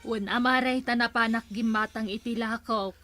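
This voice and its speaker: A woman's voice: a little high, steady tone, moderate pace, bright, forceful tone, faint music behind. A woman with an Ilocano accent playing a choosy, frank village passenger in a comedy drama. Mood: defensive. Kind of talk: barangay comedy drama acting.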